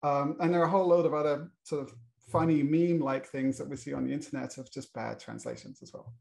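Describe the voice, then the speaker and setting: A man's voice: a little high, steady tone, quick pace, creaky, a little mellow. A middle-aged British man moderating a panel, introducing the topic with many fillers and restarts. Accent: British English. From Britain.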